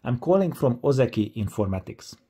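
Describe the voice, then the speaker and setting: A man's voice: mid-range voice, sing-song, brisk, mellow, slightly rough. A man speaking as a caller in a demonstration phone conversation.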